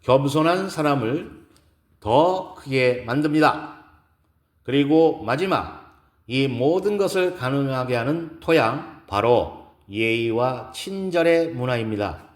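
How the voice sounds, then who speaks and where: A man's voice: medium pitch, expressive, voice pushed up. Man reading a poised, earnest lecturer, roomy echo. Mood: earnest, inspiring. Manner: formal, deliberate, rhetorical. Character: poised, earnest woman executive giving an inspirational lecture. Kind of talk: scripted audio drama speech.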